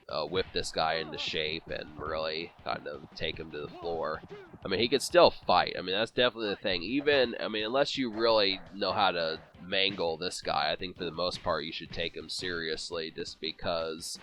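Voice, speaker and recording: A man's voice: low-pitched, bright, forceful tone, slight background noise. A man with a cold, sniffling and congested, rambling through casual commentary on a boxing video game with many fillers and restarts. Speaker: let's play commentator.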